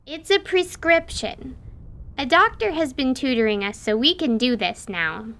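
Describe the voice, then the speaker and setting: Radio-play casting: woman as shrewd, blunt little girl, very high voice, lively swings in pitch, inquisitive. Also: unhurried, heavily acted. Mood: cheeky, firm. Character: curious, shrewd young girl, one of two sisters. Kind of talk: audio drama acting of a tabletop campaign.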